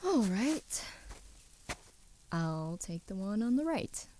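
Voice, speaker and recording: Exasperated young woman in a radio drama, kinda sarcastic, slow talker, bit of background noise. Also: medium pitch, sing-song. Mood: wary, sardonic. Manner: sarcastic, questioning. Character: exasperated, sardonic young woman, uneasy in a maze. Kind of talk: naturalistic radio drama acting.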